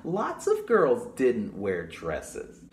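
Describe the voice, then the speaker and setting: A young man reading a picture book aloud to children, pausing to explain things simply and ask questions. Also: medium pitch, wildly swooping pitch. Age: young adult. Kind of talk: storytime read-aloud with explanatory asides. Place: small room at home, indoors. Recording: roomy echo with clear background noise.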